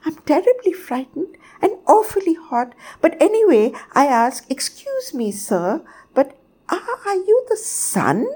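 A tiny, timid boy sparrow acted out by a woman in a storybook. The voice is very high and squeaky, with lively swoops of pitch. He pleads and repeats himself in a frightened fluster. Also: unhurried. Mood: frightened but polite. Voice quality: squeaky, chirruping. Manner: breathless repetition, stammering pleas.

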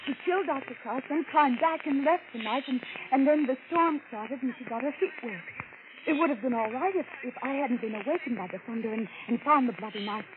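An actress as a distraught accused wife, tinny radio. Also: female voice, sits high, natural voice. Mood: desperate. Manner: earnest, halting, melodramatic. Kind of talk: 1940s radio mystery acting. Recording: noisy, tinny old radio broadcast.

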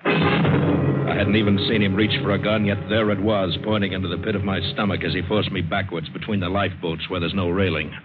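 A man's voice: sits low, level tone, a little fast, loud music behind the voice, lightly acted. An American actor playing a tough, wry seaman who tells his adventure in vintage radio drama style. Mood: tense. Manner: hard-boiled, wry, dramatic. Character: tough, wary, wisecracking seaman and adventurer telling his tale. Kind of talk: 1950s radio drama acting with first-person narration. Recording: scratchy, hissy narrowband old radio recording.

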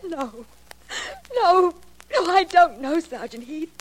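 Scared young dancer pleading in an old radio melodrama, high emotional voice. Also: female voice, lively intonation, slow pace, faint music behind, exaggerated voice, rough recording. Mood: frightened, protesting. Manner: emotional, protesting. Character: distressed, pleading young female dancer under questioning. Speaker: actress. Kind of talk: 1950 radio melodrama acting.

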